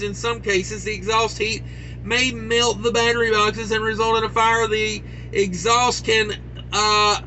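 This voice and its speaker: A man's voice: high-pitched, bright, forceful tone, a little hiss or hum, low-quality recording. A man hosting a trucking news show, reading stories and rambling into joking asides. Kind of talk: casual news commentary.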